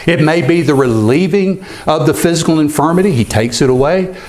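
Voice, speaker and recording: A man's voice: a little high, animated, brisk, darkish tone. A man pastor preaching an earnest expository sermon, sometimes repeating a word as he goes.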